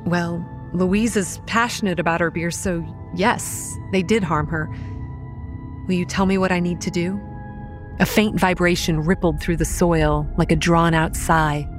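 Woman as a low-voiced young horror narrator. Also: steady tone, a little slow, lightly acted. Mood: cautious. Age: young adult. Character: wry young woman campground manager narrating her horror tale. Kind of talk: scripted first-person horror narration. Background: music.

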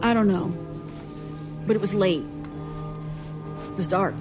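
An actress playing a bitter, guarded young woman, a traumatized survivor, in an audio drama, husky and sardonic. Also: medium pitch, measured pace, music in the background, natural voice. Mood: haunted, subdued. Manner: sardonic, defensive.

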